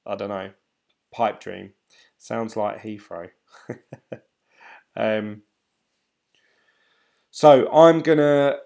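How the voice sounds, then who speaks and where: A man's voice: fairly low pitch, a little mellow. Tired British man rambling on a stream. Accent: British English. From United Kingdom. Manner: rambling, hesitant, many ums and restarts. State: tired, voice getting croaky and dry. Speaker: crypto channel host reading live chat. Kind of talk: casual late-night live stream chat.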